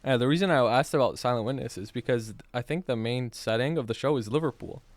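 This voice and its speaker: A man's voice: medium pitch, fast, dry recording. A young man chatting casually as a streamer, blunt and sweary, with lots of slang. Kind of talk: casual chat.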